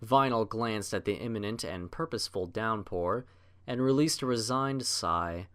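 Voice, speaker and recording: A man narrating a scripted story as an audiobook reader, in measured literary storytelling. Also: lowish voice, a bit bright.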